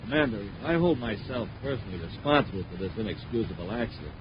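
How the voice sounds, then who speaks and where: Low-voiced scheming radio villain, played by a man. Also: animated, quick pace, noisy background, natural voice. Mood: ingratiating. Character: scheming, smoothly courteous male company boss villain. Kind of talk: 1950s radio adventure serial acting. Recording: muffled 1950s radio recording.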